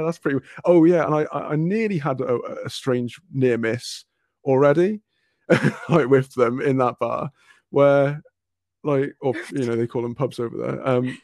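A man tells a long, meandering story in casual conversation. His voice sits a little high, and he keeps breaking off and starting over. The recording is dry, with no room sound. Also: a little mellow, no room sound. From Wales. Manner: rambling anecdotes with frequent restarts and laughter.

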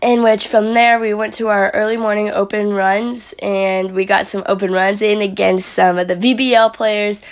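Raspy-voiced young woman, chatty vlog recap. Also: medium pitch, little pitch movement, moderate pace, few pauses. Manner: rambling, chatty, many fillers. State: hoarse from yelling while coaching. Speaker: vlogger and athlete.

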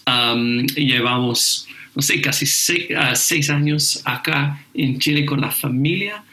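Man, bright voice in an echoey room. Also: medium pitch, slow. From England. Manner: hesitant, many fillers and restarts. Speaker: seminary teacher, guest. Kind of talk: casual conversation.

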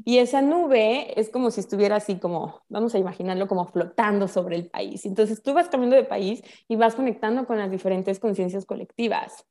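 A woman with a Mexican accent explains ideas in a casual, expressive, conversational way full of colloquial slang. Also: mid-range voice, brisk, few pauses. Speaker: guest explaining spiritual ideas.

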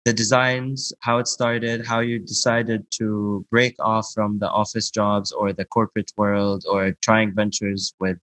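A man hosting a conversational podcast interview, speaking in a somewhat halting, hesitant way. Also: lowish voice, flat delivery, a bit bright, no echo. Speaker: podcast host.

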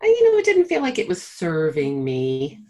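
A woman's voice: on the low side, wildly swooping pitch, normal speed, dark tone. Easygoing woman, medical director, chatty and upbeat, casual conversation. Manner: chatty, encouraging, laughs easily, playful. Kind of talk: casual chat.

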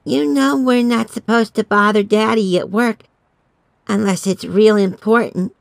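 A man's voice: high voice, steady tone, unhurried, creaky, dry recording, studio quality, pitched above the performer's own voice. A man voicing an anxious five-year-old girl in a horror audiobook. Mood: worried, innocent. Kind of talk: audiobook character voice.

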